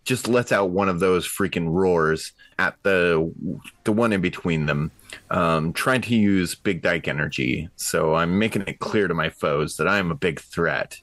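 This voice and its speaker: Male roleplaying player, low register, informal and slangy, close dry recording. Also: a little slow, fairly bright tone, no echo. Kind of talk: casual in-game action description.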